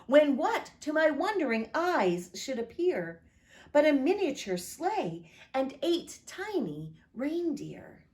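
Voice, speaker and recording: Slow, expressive woman pastor reading aloud. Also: high voice. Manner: expressive, lilting read-aloud delivery; friendly direct address to listeners.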